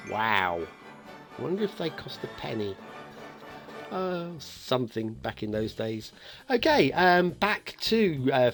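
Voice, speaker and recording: A man hosting a game map review in a chatty, playfully teasing way, commenting casually and off the cuff. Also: fairly high pitch.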